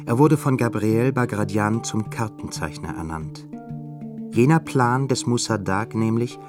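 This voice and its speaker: A man narrating a radio play in a measured, composed way. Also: fairly low pitch. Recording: narration with clear music beneath.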